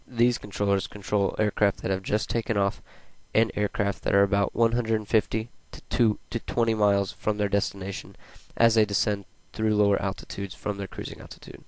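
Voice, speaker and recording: Even-toned young man reading a presentation. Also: fairly low pitch, clean tone, no echo, rough recording. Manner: plain, read-aloud delivery. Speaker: student presenter. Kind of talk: scripted narration of a school presentation.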